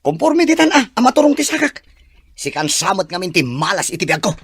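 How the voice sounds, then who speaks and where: An actor playing a surly, irresponsible gambling husband in a radio drama, with a husky voice and gruff, accusing manner. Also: male voice, high-pitched, big pitch swings, fairly quick, fairly bright tone, no room sound, full character voice.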